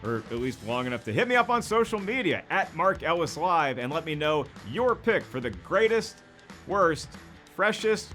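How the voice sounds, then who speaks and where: A man's voice: fairly high pitch, very animated, normal speed, hardly any pauses, a bit bright, soft background music. A man in his forties with an American accent, hosting with an emphatic, jokey, scripted comedic narration. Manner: emphatic, wry, jokey delivery. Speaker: host.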